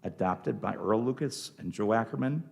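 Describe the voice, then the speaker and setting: A man narrating a scripted radio play in a theatrical, deliberate way. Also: fairly low pitch, creaky, a little mellow.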